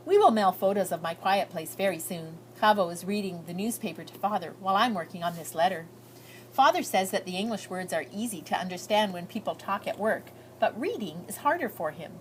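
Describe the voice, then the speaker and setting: A woman's voice: mid-range voice, expressive, a little fast, a little hiss or hum, close to an everyday voice, poor audio. A woman voicing an earnest, shy, hopeful immigrant girl reading out her letters, with heartfelt, lilting storybook delivery.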